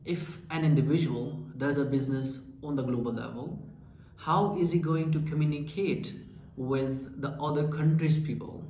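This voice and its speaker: A man's voice: fairly high pitch, moderate pace, mellow, dull audio. A man with a Pakistani accent teaching an English lesson, explaining earnestly and asking rhetorical questions. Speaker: English teacher and channel founder. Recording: echoey room with faint ambient noise.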